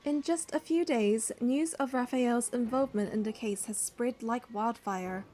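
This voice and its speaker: An actress playing a caring, curious young heroine who narrates and speaks in a romance game, measured and earnest. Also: female voice, on the high side, warm tone. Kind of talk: first-person narration and dialogue in a romance game.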